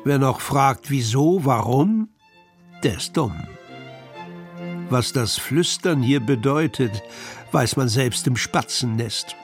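A man reads verse aloud at a very unhurried pace, his voice sitting at a middle pitch. His delivery is measured and expressive, like a scripted recitation for children's radio. Soft music plays faintly underneath. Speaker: poetry reader.

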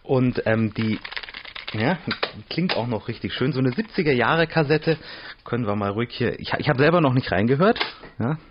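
A man's voice: mid-range voice, lively intonation, normal speed, highs cut off, noisy background. A man in his thirties talking casually and spontaneously as an interviewee, searching for words as he goes. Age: 30s. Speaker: interviewee, radio tape collector. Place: private house room.

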